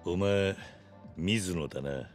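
Very low-voiced stoic ex-yakuza. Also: male voice, slow, faint music behind. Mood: guarded. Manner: terse, blunt, restrained. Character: stoic middle-aged ex-yakuza man, gruff and determined. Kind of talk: Japanese video game cutscene acting.

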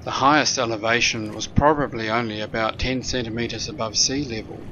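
A man narrating a sailing trip in a calm, measured, matter-of-fact voice-over. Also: mid-range voice, highs cut off, background noise. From New Zealand. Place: recorded separately from the pictured scenes. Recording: voice-over with loud background music.

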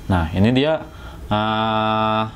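Low-voiced Indonesian man, casual West Java accent. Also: very slow, slight background noise. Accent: Sundanese-region Indonesian. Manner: informal, slangy, jokey. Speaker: motovlogger. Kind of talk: casual hands-on tutorial chat. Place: indoors at home.

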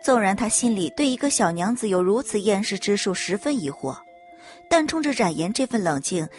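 A woman's voice: medium pitch, very animated, a little fast. A woman reading a scripted story as a dramatic audiobook narrator. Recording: music bed under narration.

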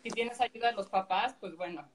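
A woman with a Mexican accent explaining things casually and in a measured way as a guest on a video call. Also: a little high, slight background noise, rough recording.

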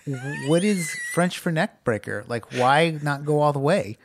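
A man's voice: fairly high pitch, animated, a little slow, noisy background. A man co-hosting a podcast in casual chat, rambling with many "like"s and restarts. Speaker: podcast co-host.

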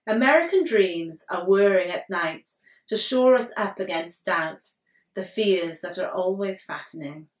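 Slow-reading woman poet in an echoey room. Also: fairly low pitch, expressive. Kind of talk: poetry reading. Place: living room, indoors on a sofa. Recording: roomy living-room echo, distant mic.

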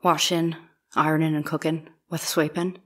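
A woman's voice: deep voice, flat delivery, moderate pace. A woman playing a plain, guarded young domestic servant testifying in a dramatic trial reading, giving terse, deferential answers. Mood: plain, unsure.

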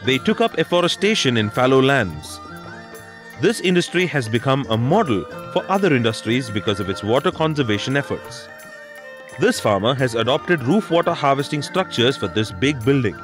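A man's voice: mid-range voice, very animated, normal speed. A man reading scripted instructional narration for a documentary. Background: background music.

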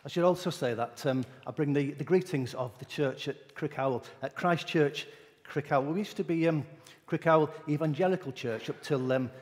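A man's voice: fairly high pitch, normal speed, few pauses, a little mellow. Middle-aged Yorkshire-accented man, hesitant. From Wales, raised in Yorkshire. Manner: hesitant, with frequent fillers. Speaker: visiting preacher. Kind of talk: casual self-introduction to a congregation. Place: church sanctuary. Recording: long reverb of a church hall.

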